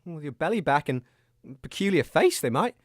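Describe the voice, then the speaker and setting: A man's voice: on the high side, very animated, moderate pace, no room sound, clean studio sound. A man playing an eager, fussy, flustered local radio host in a comedy show. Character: flustered, excitable male local radio anchor. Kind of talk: comic radio acting.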